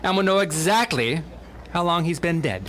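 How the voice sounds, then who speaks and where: An actor playing a dapper, fussy coroner in a pulp radio drama, speaking with smug, insistent precision. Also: male voice, a little high, expressive, moderate pace, noisy background, lightly acted. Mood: smugly certain.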